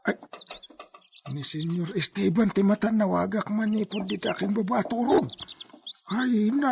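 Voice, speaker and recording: An old ranch hand in an Ilocano radio comedy drama. His voice is high, and he rambles folksily with stammers and exclamations. There is faint noise behind him. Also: creaky. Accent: Filipino, Ilocano. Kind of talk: comic radio drama acting.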